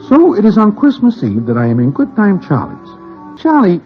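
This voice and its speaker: An actor playing a wary small-time New crook who narrates a 1940s radio drama in stilted, formal gangster slang. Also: male voice, high-pitched, wildly swooping pitch, moderate pace. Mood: uneasy, curious. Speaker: narrator and character. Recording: dull vintage radio recording, music behind.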